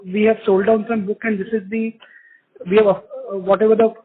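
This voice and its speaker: A man with an Indian accent asking questions as an analyst on an earnings call, halting and rephrasing, on a phone line. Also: high voice, level tone, breathy edge.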